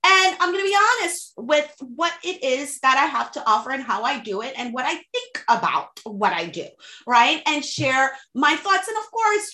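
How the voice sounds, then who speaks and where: Female coach as interview guest, Haitian accent, native French and Creole speaker, forceful and frank, echoing room sound. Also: a little high. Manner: emphatic, frank, motivational. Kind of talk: conversational advice-giving.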